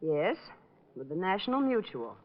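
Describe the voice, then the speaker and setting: An actress playing a curt, guarded wife under questioning, in clipped, impatient 1940s radio detective style. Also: female voice, fairly low pitch, big pitch swings, normal speed. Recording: dull vintage radio recording.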